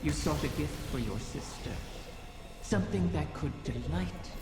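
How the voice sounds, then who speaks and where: Ancient dragon, drawn-out mocking speech, swooping intonation, cavernous reverb, video game character. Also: medium pitch, expressive, loud music behind the voice. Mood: sly reminiscing. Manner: slow, drawn-out, mocking, theatrical. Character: ancient taunting wish dragon, smooth, cryptic, needling.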